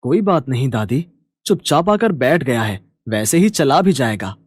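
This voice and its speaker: A man plays a young farmer grandson in a village tale, gentle and devoted. He speaks earnestly and with emphasis, in a dry recording free of room sound. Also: mid-range voice, very animated, normal speed, no echo. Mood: reassuring.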